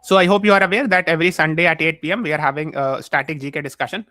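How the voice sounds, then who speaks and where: A man's voice: a little high, rapid-fire, sharp tone, dry recording, clean studio sound. A man with an Indian accent teaching a live online exam class while mildly feverish, explaining points repeatedly and checking with questions.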